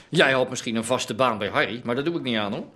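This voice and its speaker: An actor playing a hard, mercenary criminal in a radio drama, brusque and dismissive. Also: male voice, mid-range voice, lively intonation, brisk, sharp tone. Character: hard, curt, mercenary male crime dealer. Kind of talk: naturalistic Dutch radio drama acting.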